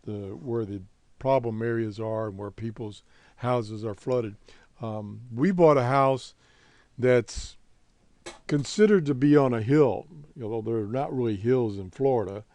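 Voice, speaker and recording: Male hobby-show host, American, unhurried and halting, relaxed soft-edged tone, dry close sound. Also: mid-range voice, no echo. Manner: halting, laid-back, rambling. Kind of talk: casual unscripted update.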